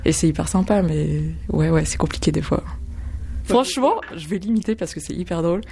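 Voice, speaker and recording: A woman chatting casually in an interview, amused and halting, with frequent restarts. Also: on the low side, warm tone, husky. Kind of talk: casual testimony.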